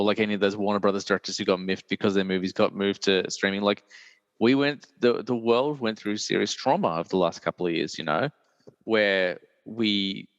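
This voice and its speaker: A man, hosting a casual film chat in a deep, croaky voice while he recovers from illness, rambling with many fillers. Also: a bit bright, no echo, in a large hall, lo-fi sound. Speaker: podcast host.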